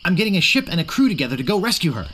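An actor playing an earnest, naive young would-be pirate hero in a video game, eager and a little wry. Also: male voice, sits high, very animated, very fast, fairly bright tone, slight background noise. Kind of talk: video game character acting.

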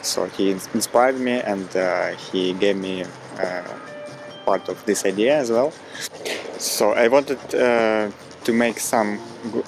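A man in his thirties with a Ukrainian accent, a circus performer talking about his act in a relaxed interview, often pausing with fillers. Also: fairly low pitch, poor audio. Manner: hesitant, many fillers, warm. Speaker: hand balancing performer. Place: dark studio, seated before backdrop. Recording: interview with loud music underneath.

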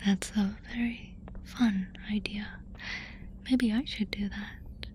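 Casting: woman to play playful, doting alien girl, soft ASMR whisper, medium pitch, faint ambient noise behind. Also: steady tone, slow, lightly acted. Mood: charmed. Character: playful, affectionate alien girl charmed by humans.

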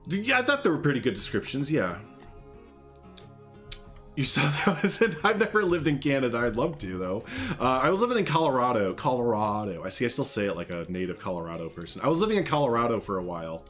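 A man's voice: medium pitch, big pitch swings, quick pace, a bit bright, faint music behind. A man in his thirties from Northern Illinois with a slight Chicago accent, chatting casually and jokingly with viewers while streaming a building game on a headset at home. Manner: rambling, jokey, chatty with viewers. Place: small room at home.

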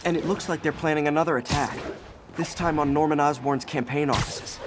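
An American man playing an earnest, quick-witted young masked superhero in a video game, in a conversational way. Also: on the high side, fairly even intonation, background noise, natural voice. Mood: urgent.

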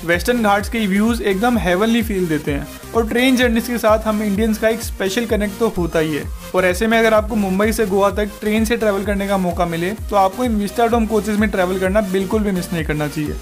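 A man with a high voice narrates a travel video as its host, reading a polished script in a smooth, upbeat tone. He recommends things warmly and confidently. A faint music bed runs under his voice. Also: brisk, few pauses.

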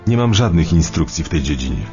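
An actor playing a formal, wary British intelligence agent in a radio documentary drama. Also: male voice, extremely low pitch, big pitch swings, a little fast, warm tone, muffled recording, faint music behind, heavily acted. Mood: formal, guarded.

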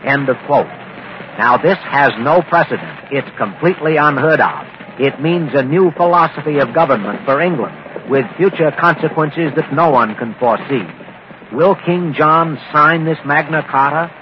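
A man's voice: on the high side, moderate pace, natural voice. A man playing a grave news anchor in a vintage radio drama, analysing events and posing questions. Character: grave male news anchor setting the historical scene. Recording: muffled, noisy vintage radio recording.

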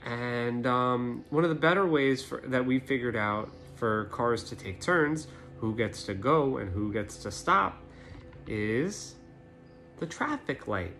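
A man's voice: mid-range voice, animated, slow, a bit bright, soft background music. Man reading a storybook to children. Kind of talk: casual introduction and storybook read-aloud.

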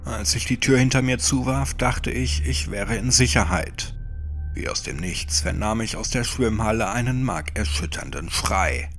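A man reads a first-person horror tale like a dramatic audiobook. His low voice moves expressively up and down and has a gravelly grain. The delivery is brooding and intense, often dropping toward a whisper. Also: expressive, normal speed, fairly bright tone. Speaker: horror story narrator. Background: music.

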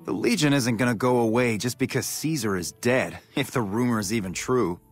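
Game guard, male actor: confident, wry man, mid-pitched, faint music underneath. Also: a little fast, natural voice. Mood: matter-of-fact. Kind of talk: video game character barks.

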